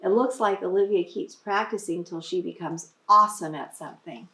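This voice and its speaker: A woman's voice: on the low side, normal speed, pushed and bright. A woman reading a picture book aloud to young children, teacher-style, with explanatory asides and prompting questions. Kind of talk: expressive storybook read-aloud with asides to children.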